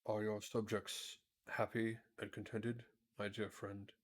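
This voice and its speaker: A low-voiced, courtly emperor made of tin, acted out by a man reading aloud. He is kindly but rather pompous, choosing formal words with measured dignity, as a storybook ruler would. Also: level tone. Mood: courteous, concerned. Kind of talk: storybook character read aloud.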